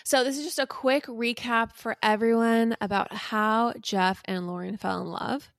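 A woman's voice: on the high side, steady tone, normal speed, creaky, no echo, clean studio sound. A woman co-hosting a podcast, telling a recap in a conversational, teasing and playfully dramatic way. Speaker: podcast co-host.

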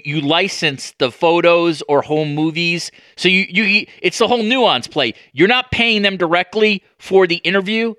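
A man hosting a podcast interview, talking conversationally and probing as he works through halting, mid-sentence restarts. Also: on the high side, a bit bright, no echo, clean studio sound. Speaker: podcast host, sports journalist.

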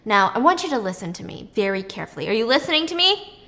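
A woman voicing a calm, creepy male kidnapper in a story, matter-of-fact and coaxing. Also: on the high side, fast, full character voice. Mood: coaxing, menacing. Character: calm, creepy young man, polite kidnapper.

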